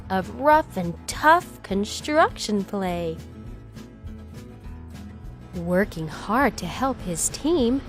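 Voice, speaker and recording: A woman with a slightly high voice reads a children's bedtime story very slowly. Her delivery is expressive and gentle, with stretched words and acted emphasis. Music plays behind her. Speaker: storybook reader.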